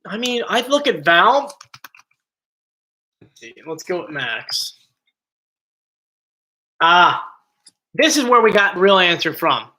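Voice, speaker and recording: Bright-voiced joking male stream host. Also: high-pitched, big pitch swings, takes it slowly, pauses often, poor audio. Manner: chatty, joking, easily sidetracked. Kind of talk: casual live stream chat. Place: home, bedroom area. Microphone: computer mic.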